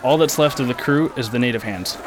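A man's voice: mid-range voice, a little fast, lightly acted. A man playing a blunt, principled, commanding ship passenger in a radio drama, with noticeable noise behind him. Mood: urgent. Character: terse, principled, take-charge male ship passenger.

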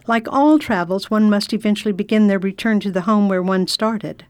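A woman's voice: mid-range voice, normal speed, smooth, no echo, lightly acted. A woman playing a genteel, inquisitive lady traveller who acts and narrates in a radio drama. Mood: reflective narrating. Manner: polite, inquisitive, exclamatory. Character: genteel, curious well-off English lady traveller. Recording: faint background noise, ambience.

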